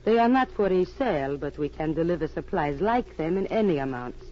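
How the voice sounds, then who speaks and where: A woman's voice: sits low, animated, fairly quick. Wary female arms dealer, formal, commanding, vintage radio sound, dull and faintly noisy. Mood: guarded. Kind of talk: 1940s radio drama acting.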